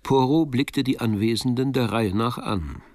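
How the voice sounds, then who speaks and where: An actor playing the composed narrator of a crime tale, reading scripted literary narration in a radio drama. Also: male voice, mid-range voice, a little slow, a little mellow, no echo, clean studio sound, lightly acted.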